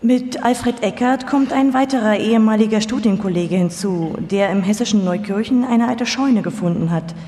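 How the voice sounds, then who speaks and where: A woman's voice: on the high side, moderate pace, hardly any pauses, dark tone. A woman reading scripted documentary narration as a narrator in a live radio feature. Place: large reverberant hall. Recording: long hall reverb, live performance.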